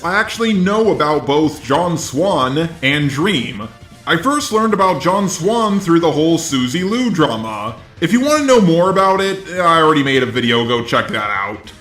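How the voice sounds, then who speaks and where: A man narrating scripted online commentary in a deliberate, sardonic manner. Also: a little high, sing-song, a bit bright. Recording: roomy echo, faint music. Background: faint music.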